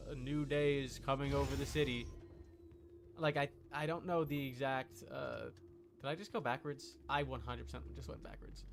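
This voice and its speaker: A man chatting casually while livestreaming a video game, rambling and thinking out loud in an unsure way. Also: mid-range voice, slight background noise.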